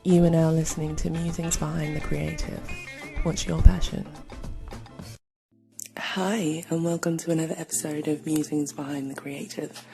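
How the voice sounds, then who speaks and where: Low, gravelly-voiced woman hosting a podcast. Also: little pitch movement, moderate pace, mellow, faint music behind. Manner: reflective and conversational, with frequent fillers. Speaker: podcast host. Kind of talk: solo episode introduction.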